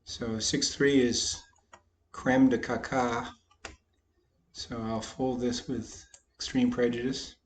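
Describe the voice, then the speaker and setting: Folksy, slightly hoarse man narrating poker hands. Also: mid-range voice, level tone, takes it slowly, a little hiss or hum. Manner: folksy, self-deprecating, talks through each hand. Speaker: poker vlogger. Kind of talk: casual commentary over online poker play.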